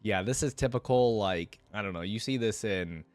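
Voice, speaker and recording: Deep-voiced man giving casual reaction commentary. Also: normal speed, no room sound, soft background music. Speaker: reaction video host.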